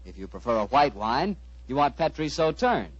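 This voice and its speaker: A man with an American accent announcing in 1940s radio style, with a folksy sales pitch. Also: big pitch swings, normal speed, slightly rough, dry recording. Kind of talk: 1940s radio commercial and hosting. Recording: dry, muffled vintage radio broadcast.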